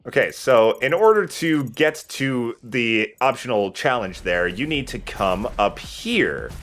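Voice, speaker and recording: A man's voice: medium pitch, animated, a little slow, fairly bright tone. A man giving casual gameplay commentary as he plays, talking through it in offhand asides. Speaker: let's player playing the game. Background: video game music.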